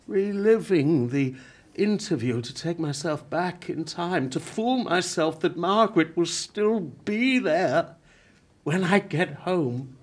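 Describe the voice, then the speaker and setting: A man acting a dying playwright in a radio play, grieving and wry, speaking haltingly with breathy murmurs. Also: fairly high pitch, lively intonation. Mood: grieving, confused.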